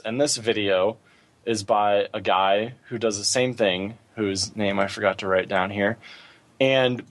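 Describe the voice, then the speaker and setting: A man hosting a podcast, chatting casually about gaming in an informal way, thinking aloud as he goes. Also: medium pitch. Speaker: podcast host.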